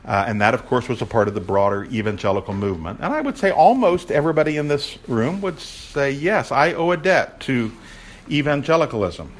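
A man's voice: mid-range voice, animated, a little fast. Man lecturing a room on church history. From raised in a Baptist family. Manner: projecting, asks the audience questions. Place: room with an audience.

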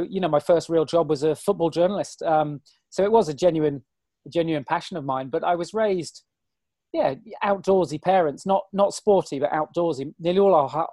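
A man in his forties, a guest on an interview, talking in a chatty, self-deprecating, rambling way with a hoarse, weary voice. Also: fairly high pitch, darkish tone, no room sound, clean studio sound. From Britain. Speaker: guest, ultrarunner. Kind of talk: casual interview chat.

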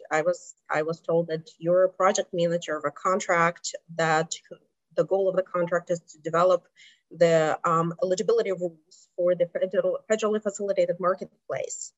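A woman's voice: on the low side, no echo. Middle-aged woman, non-native English, lots of ums. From Kazakhstan. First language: not English. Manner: thoughtful, many um pauses. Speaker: health plan president. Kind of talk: speaking on a panel. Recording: dry, dull lo-fi sound.

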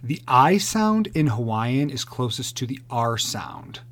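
A man's voice: medium pitch, very animated, measured pace, fairly bright tone. Male podcast co-host, casual and playful, talks at length. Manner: playful, chatty, explains at length. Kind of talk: casual chat, wine tasting.

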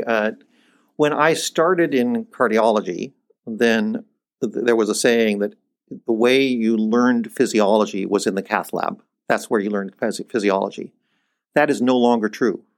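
Older man explaining with rising emphasis. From United States, born in Iceland. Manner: thoughtful, restarts often, rises in pitch when making a point. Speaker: cardiologist guest. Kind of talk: conversational interview.

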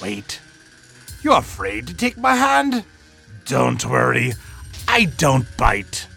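A man's voice: medium pitch, very animated, creaky, bright, forceful tone, husky, soft background music, heavily acted. A man playing a creepy old lord who hosts a horror story with mock-sinister jokes. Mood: sinister-playful. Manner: mock-sinister, joking, drawn-out delivery. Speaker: host. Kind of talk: theatrical horror host intro.

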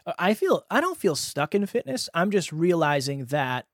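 A man's voice: fairly high pitch, sing-song, normal speed, no room sound, studio quality. A man in his thirties co-hosting a podcast, candid and self-deprecating in casual chat. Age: 30s. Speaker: podcast co-host.

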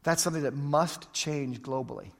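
A man's voice: medium pitch, normal speed, gravelly. A man preaching a sermon, emphatic and repeating phrases for effect, speaking in a large church hall. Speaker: pastor. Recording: long hall reverb.

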